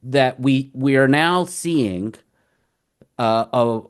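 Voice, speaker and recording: A man with a mid-pitched voice, typical for his sex, speaks as a guest in a conversational radio interview. He sounds earnest and impassioned, with quick bursts of speech and frequent restarts. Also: smooth. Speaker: guest commentator.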